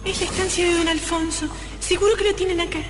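A man's voice: extremely high pitch, flat delivery, quick pace. A man voicing a dramatic young aristocratic mistress and mother in a comedic radio play. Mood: pleading. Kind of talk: comedic radio play acting, falsetto female role.

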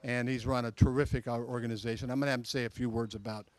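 A man's voice: mid-range voice, steady tone, racing, a little mellow, no echo. Man emceeing, dry close handheld mic. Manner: friendly, chatty introductions. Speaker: emcee of a club meeting. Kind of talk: introducing speakers. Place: outdoor restaurant patio at night.

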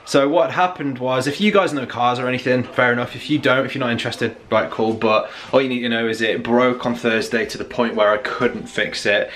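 A man's voice: medium pitch, steady tone, a little fast, hardly any pauses. A man, chatting playfully and casually to a handheld vlog camera. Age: 20s. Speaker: family vlogger, father. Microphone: camera held at arm's length. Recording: loud music over the voice.

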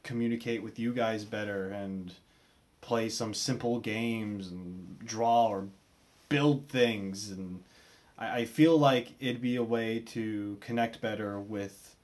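A man's voice: fairly low pitch, steady tone, slow pace, a little mellow. Young American man vlogging, hesitant and casual. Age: 20s. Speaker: video creator. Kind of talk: casual vlog update to camera. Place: small bedroom, indoors.

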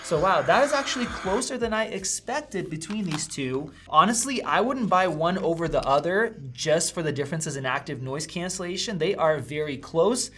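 A man's voice: fairly high pitch, lively intonation, moderate pace, few pauses, sharp tone, husky. A man in his thirties casually reviewing headphones, thinking aloud as he tries them on at a desk in a small studio room. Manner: upbeat, conversational, thinking aloud while testing. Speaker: tech reviewer. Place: small indoor studio room with a vent running.

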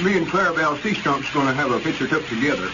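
An actor playing a simple-minded, eager young bumpkin with a rural Southern accent in old radio comedy. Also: male voice, fairly high pitch, lively intonation, fast talker, natural voice. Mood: naive, eager. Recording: very noisy, muffled old radio recording.

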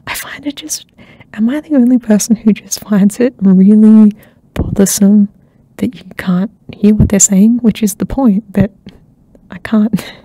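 A young woman hosting an ASMR channel, chatting softly and rambling with lots of fillers, often dropping into a whisper close to the mic. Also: mid-range voice, unhurried, breathy edge, no echo, rough recording. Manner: soft-spoken, slips into whispers, many fillers. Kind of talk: soft-spoken rambling catch-up chat. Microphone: close ASMR microphone.

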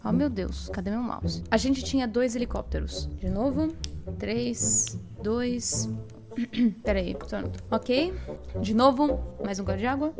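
A woman's voice: fairly high pitch, measured pace, creaky. A Brazilian woman talking casually to herself between recording takes, informal and self-critical, swearing freely. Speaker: voice actress recording lines. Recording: music behind the voice. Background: music.

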